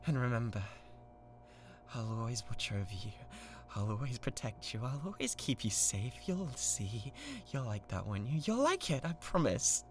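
A woman's voice: extremely low pitch, sing-song, normal speed, a little hiss or hum. A British woman playing an obsessive, menacing young yandere classmate in a theatrical ASMR roleplay monologue. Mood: tender, possessive. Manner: theatrical, swinging between hushed coaxing and manic intensity.

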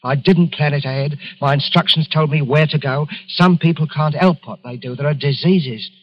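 A man's voice: on the high side, normal speed, lightly acted. Male actor as a nervous salesman, meek, self-pitying, hesitant, old-time radio drama, muffled dated recording. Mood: confessional. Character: timid, lonely, unstable travelling salesman, self-pitying.

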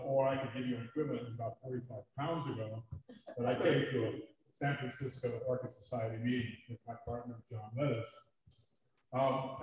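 A man giving a lecture at a society meeting, talking haltingly with many fillers. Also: medium pitch, a little mellow. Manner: halting, many ums and uhs. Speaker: guest speaker. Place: large meeting room. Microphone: distant room mic. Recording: echoey room from far off, faint noise.

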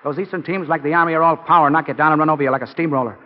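An actor playing an earnest young college football player in a 1940s radio drama. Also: male voice, fairly high pitch, steady tone, racing, close to an everyday voice. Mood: excited. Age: young adult. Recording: dull 1940 radio recording.

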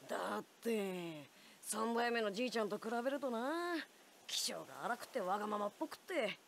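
Casting: a cheeky young boy ninja, lively and rough-talking. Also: medium pitch, moderate pace, a little hiss or hum. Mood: complaining. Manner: rough boyish slang with a verbal tic. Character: brash loud boy ninja, cheeky and stubborn. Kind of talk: game cutscene voice acting.

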